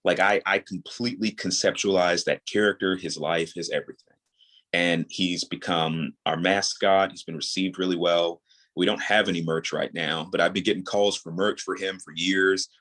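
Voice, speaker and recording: A man speaking as a guest in a casual interview, earnest and reflective, building his thoughts in halting phrases. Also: sits low, steady tone, a bit bright. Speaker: podcast guest, audio drama creator.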